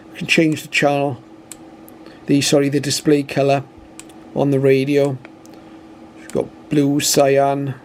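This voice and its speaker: A man's voice: medium pitch, a little mellow, a little hiss or hum. A British man giving an informal, matter-of-fact product demonstration, explaining methodically step by step. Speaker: radio reviewer and demonstrator.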